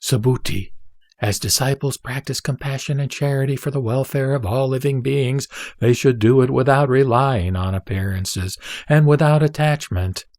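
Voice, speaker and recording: A man reading scripture as a scripted narration, in a solemn, measured, teaching manner. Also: mid-range voice, a little mellow, no echo, studio quality. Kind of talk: scripted sutra recitation, audiobook narration.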